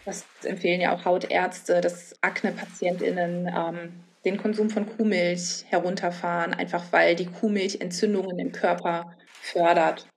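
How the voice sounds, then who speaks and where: A woman's voice: on the low side, level tone, normal speed, slight background noise. A woman speaking as an interviewed nutrition expert, explaining informally and often adding tag questions. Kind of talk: spontaneous explanatory interview talk.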